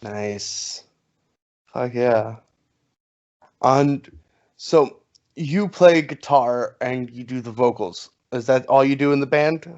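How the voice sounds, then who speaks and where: A man's voice: mid-range voice, slow, long pauses, darkish tone, highs cut off. A man hosting a casual podcast interview, laid-back, with casual swearing. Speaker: podcast host.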